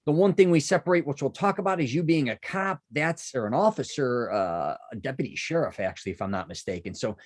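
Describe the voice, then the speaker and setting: A man's voice: mid-range voice, lively intonation, brisk, hardly any pauses. A man from Chicago hosting a podcast, chatting casually and rambling with many asides and restarts. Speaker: podcast host. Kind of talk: casual interview chat.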